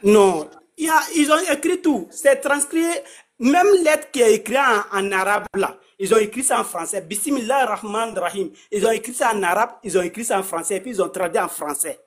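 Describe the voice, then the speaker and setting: A man explaining his faith in a casual conversation, halting and repeating himself often. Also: high-pitched. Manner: halting, repeats words, many restarts.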